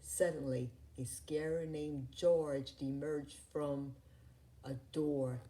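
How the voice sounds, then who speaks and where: Slow, low-voiced woman reading a storybook. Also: steady tone, dark tone. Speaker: storybook reader. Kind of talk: read-aloud children's story narration.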